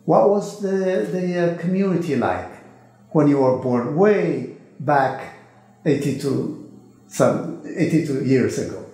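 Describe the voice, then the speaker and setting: A man interviewing an elder, gently asking about his early life while searching for words. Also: fairly high pitch, animated, takes it slowly. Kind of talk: oral history interview questions. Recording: very echoey room with faint music.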